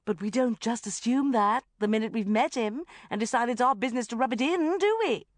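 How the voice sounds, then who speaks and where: A woman's voice: a little high, lively intonation, a little fast, no echo, voice pushed up, close to an everyday voice. A woman voicing a combative, sarcastic, outspoken female friend who growls indignantly, as an audiobook character. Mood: scornful.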